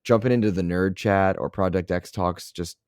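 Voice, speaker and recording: Deep-voiced man, earnest podcast host. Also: normal speed, a little mellow, clean tone, no room sound, clean studio sound. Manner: earnest, heartfelt and reflective. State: losing his voice. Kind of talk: casual podcast chat.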